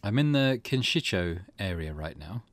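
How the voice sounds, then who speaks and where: A man's voice: deep voice, very animated, normal speed, no room sound. A man hosting a let's play, chatting casually, thinking aloud and reading game text out as he goes. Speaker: gaming channel host. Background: game music.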